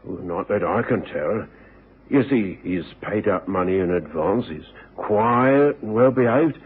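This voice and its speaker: An actor playing a kindly, worried older English boarding house keeper who stammers hesitantly in a radio drama. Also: male voice, lowish voice, animated, unhurried, slight background noise. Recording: tinny old radio broadcast.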